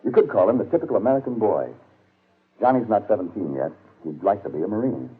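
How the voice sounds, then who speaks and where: Low-voiced 1940s radio announcer man. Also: expressive, quick pace, breathy edge. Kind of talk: scripted 1940s radio commercial narration. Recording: tinny 1940s radio broadcast.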